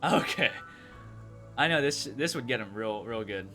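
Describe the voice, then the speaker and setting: A man's voice: mid-range voice, expressive, normal speed, bright, forceful tone. A man streaming a video game, reacting casually in brief, slangy asides. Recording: game music behind the voice.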